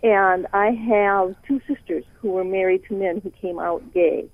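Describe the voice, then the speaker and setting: A woman's voice: medium pitch, steady tone, a little slow, dry recording. A woman from the northern US with a northern accent, calling in to a radio talk show and telling a story with many hesitant fillers. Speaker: caller. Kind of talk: call-in radio caller. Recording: tinny phone line.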